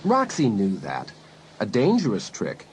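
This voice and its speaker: A man narrating a teen thriller audiobook in a measured, dramatic, suspenseful style. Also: lowish voice, huge pitch swings, a little hiss or hum. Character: male storyteller of a teen thriller. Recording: loud music behind narration.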